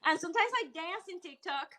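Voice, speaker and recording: A woman's voice: extremely high pitch, lively intonation, a little fast, a loud crowd. A woman from Puerto Rico, a professor, chatting on a live stream in a bubbly, teasing way. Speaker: professor, podcast guest. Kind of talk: casual live-stream chat.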